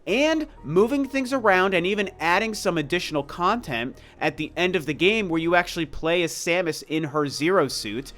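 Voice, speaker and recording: A man's voice: high-pitched, expressive, moderate pace, hardly any pauses, fairly bright tone. A man in his forties with a congested, slightly scratchy, stuffed-up voice, giving casual and emphatic opinionated commentary as a video game review host. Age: 40s. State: congested, sick with a cold.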